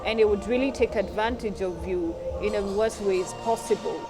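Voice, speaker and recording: A woman's voice: medium pitch, moderate pace. Woman speaking earnestly, music behind. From Kenya, Nairobi. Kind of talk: interview.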